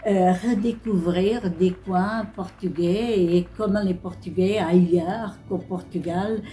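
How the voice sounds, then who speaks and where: A woman's voice: fairly low pitch, fairly even intonation, slow, dark tone, faint music behind. A woman speaking thoughtfully in an interview.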